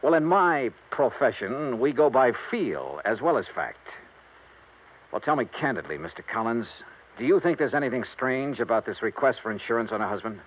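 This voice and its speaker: An actor playing a blunt, hardboiled insurance investigator in a 1950s radio drama, asking brisk, dry questions. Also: male voice, mid-range voice, lively intonation, close to an everyday voice. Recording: tinny lo-fi 1950s radio broadcast with faint hiss.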